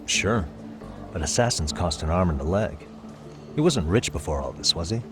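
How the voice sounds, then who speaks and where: An actor playing a calm, sharp male detective in a video game cutscene, talking through a case in a level-headed, deductive way. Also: low voice, normal speed. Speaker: private detective. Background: background music.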